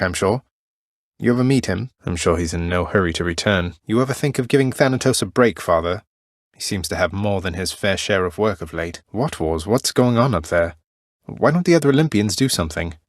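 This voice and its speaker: A man's voice: low-pitched, lively intonation, brisk, no echo. A man voicing a young underworld prince in a video game, polite and casual, with a dry, understated wit. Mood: casual, inquisitive.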